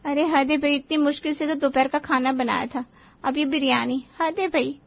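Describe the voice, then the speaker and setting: A woman's voice: high voice, steady tone, moderate pace, gravelly, highs cut off. A woman voicing an exasperated, overworked young daughter-in-law, whiny and pleading, in a Hindi cartoon story. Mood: exasperated, weary.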